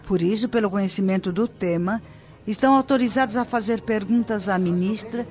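A Brazilian actress playing a patient, helpful spirit guide in a radio drama, explaining things gently and formally. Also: female voice, medium pitch, fairly quick, highs cut off, lightly acted. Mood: patient, explanatory.

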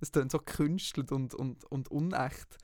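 A man's voice: medium pitch, normal speed, darkish tone, no room sound, clean studio sound. A young man from Switzerland with a Swiss German accent, chatting casually and jokingly as a podcast co-host. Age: young adult. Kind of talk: casual chat.

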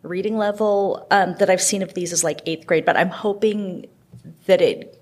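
A woman's voice: fairly low pitch, moderate pace, a little mellow. A woman guest explaining library items in a casual, enthusiastic interview style.

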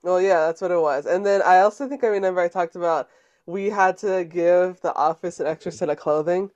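A young woman co-hosts a laid-back podcast, talking in a playful, teasing way. She giggles often and trades quick banter with her co-host. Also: lowish voice, steady tone, a little mellow, dull audio. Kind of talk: casual chat.

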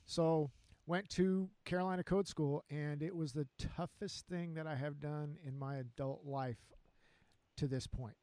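A man, telling his story as an interview guest in an earnest, unhurried way. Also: on the high side, fairly even intonation, dark tone, no room sound. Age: 50s. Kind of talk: casual interview chat.

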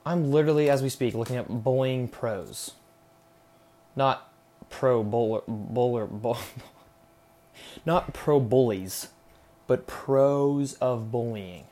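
A man's voice: medium pitch, slow, pauses often, mellow. A young man hosting a solo podcast, rambling casually and irreverently with lots of slang, sarcasm and swearing. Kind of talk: casual unscripted rambling monologue.

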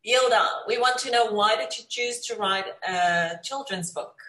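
A woman's voice: a little high, moderate pace, bright, forceful tone. A woman hosting a conversational interview show, speaking in an effusive, encouraging way. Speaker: talk show host. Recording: echoey room sound.

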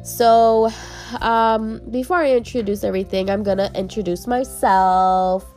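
A woman's voice: medium pitch, fairly even intonation, a little slow, darkish tone, faint music behind. A woman hosting her own podcast in casual, bubbly chat, sounding congested and nasal from a cold. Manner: bubbly and chatty, laughs often. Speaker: podcast host.

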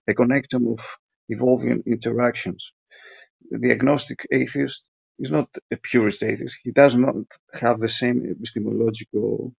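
A man with a creaky, raspy, lowish voice delivers a pedantic monologue as a voicemail caller. He stumbles and repeats himself as he lectures. The sound is dull and lo-fi. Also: darkish tone, no echo. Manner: halting, repetitive, pedantic. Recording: dry, muffled lo-fi voicemail.

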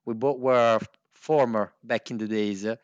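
A man's voice: mid-range voice, steady tone, unhurried, smooth, dry recording, muffled recording. A man from Italy with an Italian accent, a guest on a tech podcast, talking in a friendly, joking way. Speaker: guest, tech company co-founder. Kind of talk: casual podcast interview.

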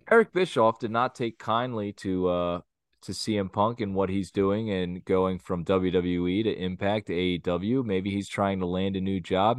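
Lower-voiced guy rambling opinions on a podcast, blunt, kinda crude, dry close sound. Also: dry recording. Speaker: podcast co-host. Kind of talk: casual wrestling podcast chat.

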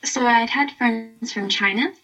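A woman's voice: fairly high pitch, measured pace, sharp tone, rough recording. A young woman in her twenties answering interview questions in a friendly, casual way. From Britain, half Chilean. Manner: friendly, laughs lightly between answers. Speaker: interview guest.

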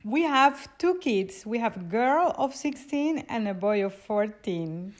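High, bright, animated woman. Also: measured pace. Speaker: interviewee, wife and mother. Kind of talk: casual chat.

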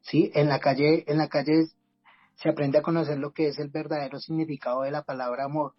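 A man's voice: a little high, flat delivery, brisk, husky, no room sound, highs cut off, faint music behind. A man from Bogotá with a Colombian accent, sharing his personal story earnestly and reflectively as a podcast guest, often restarting his words. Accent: Bogotá Colombian Spanish. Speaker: guest, community project leader. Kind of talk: personal testimony in a podcast conversation.

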